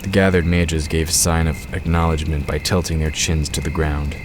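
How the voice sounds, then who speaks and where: Extremely deep-voiced man narrating fantasy. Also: little pitch movement, moderate pace, a little hiss or hum. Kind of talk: scripted fantasy audio drama narration.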